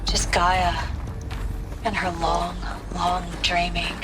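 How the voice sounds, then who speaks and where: An actress playing a weary scientist recording a log, talking slowly and reflectively, at times drifting into whispers. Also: female voice, on the low side, completely flat delivery, noisy background. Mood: pensive. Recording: voice log under strong music.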